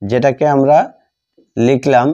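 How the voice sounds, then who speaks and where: A Bengali-accented man gives a grammar lesson at a very unhurried pace. His voice is mid-pitched and soft-edged, dark in tone. He dictates piece by piece, repeating phrases as he writes them out. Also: steady tone, highs cut off. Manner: deliberate, repetitive, spelling out each phrase. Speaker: English grammar teacher.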